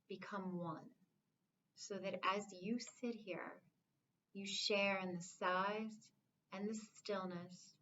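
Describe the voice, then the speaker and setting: A woman leading a guided meditation as an instructor, speaking in a calm, soothing, measured way with long pauses. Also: medium pitch, muffled recording, a little hiss or hum.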